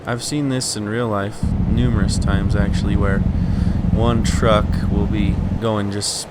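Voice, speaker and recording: A soft-voiced man chatting easily to viewers as he plays a truck driving game. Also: fairly low pitch, flat delivery, slow pace. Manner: easygoing, chatty, talks to viewers. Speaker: let's play gamer. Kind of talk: casual gameplay commentary. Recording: loud game engine noise. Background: truck game sounds.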